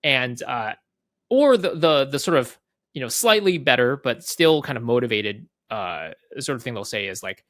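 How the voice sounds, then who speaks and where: A man speaking as an interview guest, explaining casually in halting phrases with repeated words and frequent checking tags. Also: mid-range voice, lively intonation, a bit bright, no echo, clean studio sound. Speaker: interview guest, head of product at a software company.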